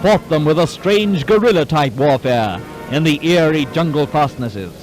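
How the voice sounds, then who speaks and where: Dramatic 1940s newsreel man, high-pitched. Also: animated, normal speed, a little mellow, rough recording. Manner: dramatic, clipped, declamatory. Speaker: newsreel narrator. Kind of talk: 1940s wartime newsreel narration, scripted. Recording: 1940s newsreel soundtrack, music behind. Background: orchestral newsreel music.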